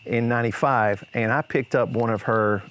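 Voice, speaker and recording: A man's voice: mid-range voice, steady tone, moderate pace, fairly bright tone. Man, podcast host, casual chat, storytelling asides.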